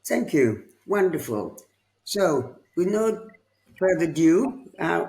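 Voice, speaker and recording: A man moderating a panel podcast, asking long questions in a slow, deliberate way. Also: a little high, creaky, poor audio.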